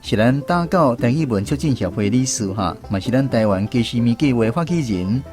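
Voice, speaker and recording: A man's voice: medium pitch, lively intonation, a little fast, a little mellow, faint music behind. A man with a Taiwanese accent hosting an educational language quiz on the radio. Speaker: radio host of a language segment.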